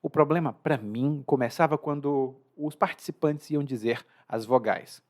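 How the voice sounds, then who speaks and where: A man with a Brazilian Portuguese accent hosts a podcast lesson. He is chatty and expressive and slows down to stress key words. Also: a little high. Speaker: language teacher and podcast host.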